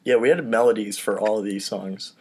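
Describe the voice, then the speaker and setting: A man's voice: on the low side, steady tone, fairly quick, darkish tone, husky. Man leading a casual table read. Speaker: podcast host reading stage directions.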